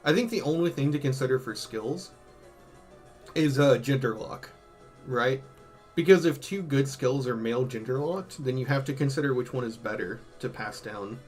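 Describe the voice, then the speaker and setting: A man's voice: mid-range voice, normal speed. Male streamer musing, voice tiring, over music. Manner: slangy, musing out loud. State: voice tiring from hours of talking. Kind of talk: casual live-stream commentary, ranking game tier lists.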